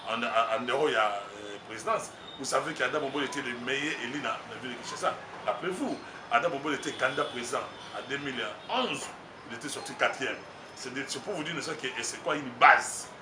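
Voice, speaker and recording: A man's voice: mid-range voice, quick pace. A man with a Congolese French accent, a political candidate speaking emphatically and rambling, slipping into Lingala. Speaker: political candidate, party communications secretary. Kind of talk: street interview. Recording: roomy, distant mic, noisy background.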